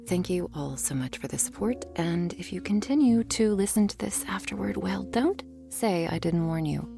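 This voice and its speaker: A woman's voice: on the low side, lively intonation, moderate pace, creaky, over music. A woman hosting an ASMR channel, giving a casual half-whispered warning before a roleplay. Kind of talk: ASMR intro, casual aside.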